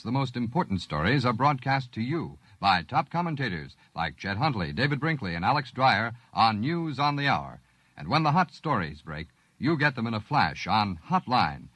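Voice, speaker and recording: An American man delivers a 1950s radio promotion in an emphatic, polished announcer style. His medium-pitched voice is animated and recorded very dry. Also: no echo. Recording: vintage radio broadcast.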